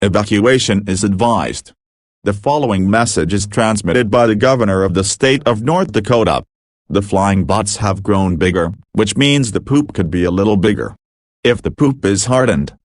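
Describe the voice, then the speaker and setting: Low-voiced man reading a mock emergency alert. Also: expressive, normal speed, dry recording. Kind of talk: scripted mock emergency broadcast narration.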